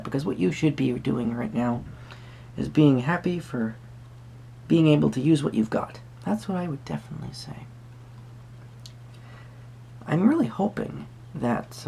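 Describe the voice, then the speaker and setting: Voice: medium pitch, gravelly, dark tone, a little hiss or hum. A tarot reader speaking to the listener in a roleplay, musing hesitantly in wordy, formal phrases. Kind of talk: soft-spoken roleplay tarot reading addressed to the viewer.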